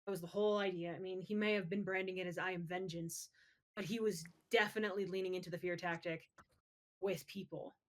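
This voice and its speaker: A woman's voice: fairly low pitch, fairly even intonation, brisk. A woman co-hosting a podcast in casual pop-culture chat, conversational and opinionated. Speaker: podcast co-host.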